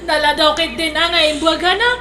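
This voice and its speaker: A woman's voice: extremely high pitch, fairly even intonation, a little fast, bright, forceful tone. An actress playing an emotional single young woman who laughs and cries through her lines. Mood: dramatic. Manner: laughing, teary, dramatic delivery. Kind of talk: radio drama acting. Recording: echoey room with clear noise.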